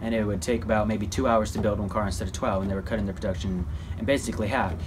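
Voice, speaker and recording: A man's voice: fairly low pitch, steady tone, racing, noisy background. A man giving an informal mock news report in a folksy, rambling, repetitive way. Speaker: presenter in a student history project.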